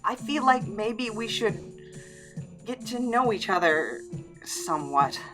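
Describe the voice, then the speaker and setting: A woman acting a shy, hesitant, flustered character in an audio drama, speaking haltingly to an old flame. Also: mid-range voice, lively intonation, over music. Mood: bashful, tentative. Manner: halting, bashful.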